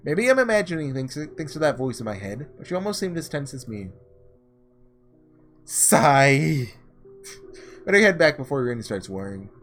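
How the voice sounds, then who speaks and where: A man's voice: medium pitch, big pitch swings, normal speed, pauses often, a bit bright, faint music behind. A man doing let's-play commentary, reading a visual novel aloud dramatically and tossing in offhand, sarcastic asides. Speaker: gaming host reading aloud and reacting.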